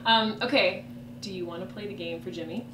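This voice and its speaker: A woman's voice: mid-range voice, a little fast. Woman doing a chatty informal interview, roomy echo, noise behind her. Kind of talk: casual interview chat. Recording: echoey, noisy, distant microphone.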